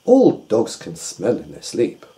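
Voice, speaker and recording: A man voicing a sensible, bossy female duck in a storybook, brisk and matter-of-fact. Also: on the low side, wildly swooping pitch, dark tone.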